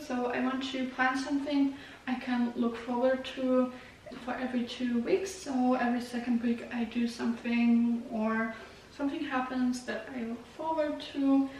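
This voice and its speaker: A woman's voice: high-pitched, a little mellow. A young woman from Germany whose native language is Russian, chatting casually and informally to viewers as a vlogger, with a self-deprecating way of talking. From Germany, moved there when very young. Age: young adult. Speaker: YouTube vlogger. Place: echoey indoor room. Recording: very echoey room, distant mic, faint noise.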